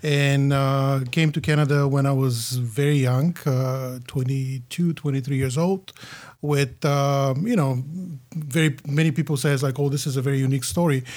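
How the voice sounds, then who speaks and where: A man in his forties from Bulgaria with a Bulgarian accent, a podcast guest telling his story in a reflective, halting way with many fillers. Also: mid-range voice, steady tone, darkish tone. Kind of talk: casual podcast interview.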